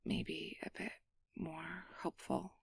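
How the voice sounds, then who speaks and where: Awkward changeling girl played by a woman for asmr rp, slowish n hesitant, lots of vocal fry, flustered, acts guarded but secretly soft hearted. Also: low voice, flat delivery, no echo, studio quality, exaggerated voice. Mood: guarded, tender. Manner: hesitant, flustered, stammering, downplaying. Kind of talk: ASMR roleplay acting.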